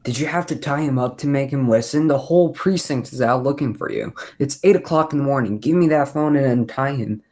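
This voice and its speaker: A man's voice: medium pitch, a little fast, few pauses, darkish tone, lightly acted. A young man playing a no-nonsense police lieutenant in a radio play. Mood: brisk, scolding.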